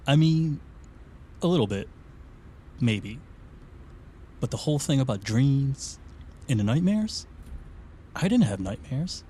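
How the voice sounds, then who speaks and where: A man's voice: medium pitch, sing-song, slow pace, long pauses, mellow, slight background noise. An actor playing a skeptical, uneasy and blunt man in a naturalistic audio drama. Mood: skeptical, rattled. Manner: blunt, questioning.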